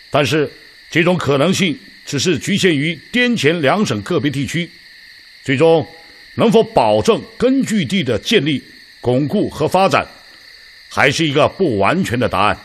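An actor playing a sceptical army officer in a radio drama, reasoning deliberately and formally. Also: male voice, a little high, very animated, slight background noise.

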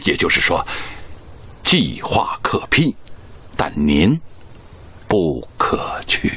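An actor playing a senior hospital director, measured and authoritative, with deliberate pauses, in a Chinese radio drama. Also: male voice, low-pitched, big pitch swings, sharp tone, slight background noise, heavily acted. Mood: firm.

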